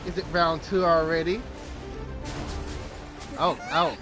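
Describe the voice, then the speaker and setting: A man streaming a video game, throwing in loud, playful, offhand reactions. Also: on the high side, steady tone, slow pace. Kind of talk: live gameplay commentary. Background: game music.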